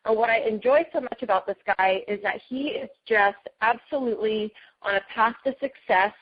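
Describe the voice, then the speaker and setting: Woman host, gushing intro delivery, a bit high, dull phone audio. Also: normal speed, a little hiss or hum. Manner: effusive, enthusiastic praise. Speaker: conference call host. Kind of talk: introducing a guest on a team call. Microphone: phone line. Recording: muffled phone line.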